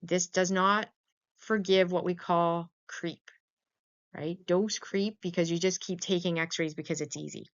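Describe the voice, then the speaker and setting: A woman's voice: lowish voice, steady tone, measured pace. A woman teaching as a lecturer in an informal, conversational way, often checking in with her students through short questions. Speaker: lecturer teaching veterinary radiography. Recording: dull, lo-fi voice-over recording.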